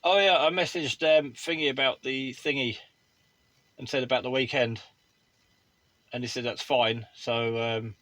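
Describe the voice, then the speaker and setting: A man's voice: medium pitch, fairly even intonation, unhurried, pauses often, fairly bright tone, a little hiss or hum, low-quality recording. A British man chatting casually as a vlogger and radio hobbyist. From United Kingdom. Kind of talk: casual chat. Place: inside a parked motorhome.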